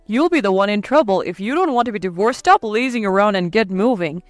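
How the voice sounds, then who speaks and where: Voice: mid-range voice, expressive, fairly quick, no room sound, lightly acted. A performer voicing a domineering, irritable husband in a narrated story, scolding and commanding with contempt. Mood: contemptuous, commanding. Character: domineering, irritable, sneering husband berating his wife. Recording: dry close voice over faint music.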